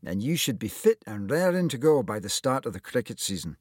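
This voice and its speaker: A man's voice: medium pitch, sing-song, fairly quick, no room sound, studio quality, voice pushed up. A man voicing a kindly, encouraging older male surgeon.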